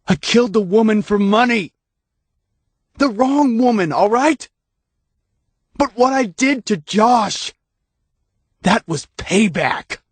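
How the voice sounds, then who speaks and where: A man's voice: sits high, big pitch swings, very slow, few pauses, mellow, dry recording, pitched above the performer's own voice, heavily acted. A man voicing a desperate male deputy who pleads and breaks, his voice cracking. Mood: shouting.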